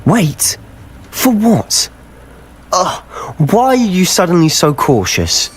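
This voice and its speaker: A man's voice: high-pitched, wildly swooping pitch, slow pace, husky, full character voice. A young man playing an impatient, brooding teenage schoolboy wizard in a video game. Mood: frustrated, bitter.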